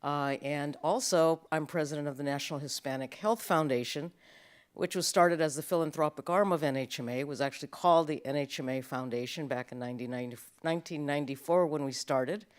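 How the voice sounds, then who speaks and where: Woman, medical association head, panel speaker, measured delivery. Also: deep voice. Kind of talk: panel remarks. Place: conference event hall.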